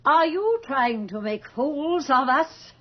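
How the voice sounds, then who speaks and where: A woman's voice: high voice, lively intonation, slow. A woman playing a haughty, fearless old aristocratic lady with theatrical deliberation in a radio drama. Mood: imperious, angry. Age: older. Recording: muffled old radio recording, music behind.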